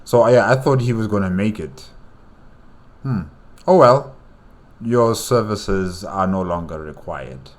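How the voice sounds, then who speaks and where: A man hosting a reaction video, making relaxed, offhand comments. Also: fairly low pitch, slow pace, pauses often. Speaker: reaction channel host.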